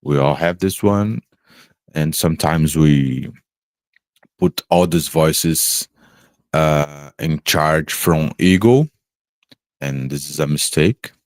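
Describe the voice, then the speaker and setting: A man, an English speaker, talking slowly and haltingly about spiritual experiences on a podcast, pausing often to search for words. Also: extremely low pitch, a little mellow, no echo, studio quality. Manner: halting, searching for words, many pauses and fillers. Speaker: podcast co-host. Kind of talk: slow reflective spiritual chat.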